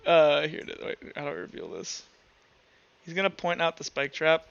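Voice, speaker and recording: Smooth, higher-voiced man running a game. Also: sing-song, fairly bright tone, muffled recording. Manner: halting, thinks aloud while narrating. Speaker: game master. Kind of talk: improvised narration and table talk in a tabletop roleplay session.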